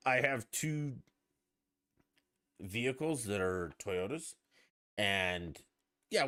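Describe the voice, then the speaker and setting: Casting note: podcast host, low voice, lively swooping delivery, profane and rambling. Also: male voice. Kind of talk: casual solo podcast chat.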